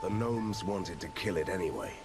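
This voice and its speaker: Really low growly monster hunter, stern, deadpan, dramatic game hero. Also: male voice, moderate pace, creaky, loud music behind the voice, exaggerated voice. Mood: dry, serious. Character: stern, resolute male monster hunter, dryly witty. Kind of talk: video game hero acting.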